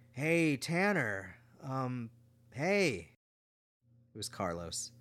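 A man's voice: low-pitched, pushed and bright. A man reading fiction aloud as a scripted story narrator. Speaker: audiobook narrator.

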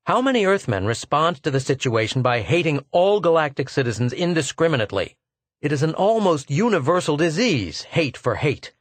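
A man's voice: mid-range voice, animated, normal speed, no echo, voice pushed up, natural voice. A man voicing a frank male archaeologist who speaks bluntly and gets exasperated easily.

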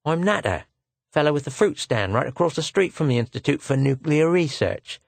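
Man playing small male street vendor, storybook figure, folksy slang, impudent, ingratiating, raised pitch. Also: lively intonation, moderate pace, gravelly, no room sound. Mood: amused, impudent.

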